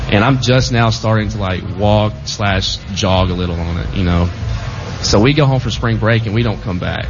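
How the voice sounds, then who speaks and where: Young guy on a radio show rambling thru a story, lowish voice, kinda dull lo-fi sound w noise behind. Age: young adult. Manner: relaxed, rambling, filler-heavy storytelling. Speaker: football player guest. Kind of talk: casual radio interview storytelling. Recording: dull lo-fi audio with clear background noise.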